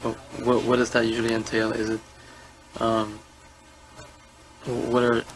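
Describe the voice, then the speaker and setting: A man in his twenties from Guyana, a suspect answering questions in a hesitant, halting way and stumbling over repeated words. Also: medium pitch, monotone, rough recording. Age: 20s. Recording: interview room audio with music overlaid.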